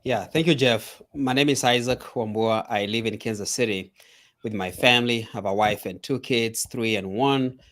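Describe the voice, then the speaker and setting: A man, a guest on a talk show, calmly and reflectively telling his life story. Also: mid-range voice, moderate pace. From Kenya. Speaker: guest speaker. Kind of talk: interview storytelling.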